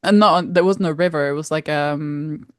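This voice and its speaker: Low-voiced woman podcast co-host. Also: fairly even intonation, moderate pace, no room sound, clean studio sound. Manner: laughs often, hesitant restarts. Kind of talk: casual chat.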